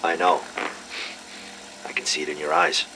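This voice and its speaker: An actor playing a weary, embittered and guarded military intelligence officer in an audio drama. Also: male voice, sits low, sing-song, normal speed, vocal fry, background noise, full character voice. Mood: subdued.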